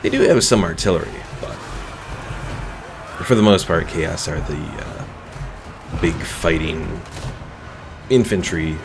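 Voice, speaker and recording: A man streaming a strategy game in casual commentary, relaxed and rambling, reading viewer chat aloud between moves. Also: low voice, takes it slowly, vocal fry, long pauses. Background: game music and battle sounds.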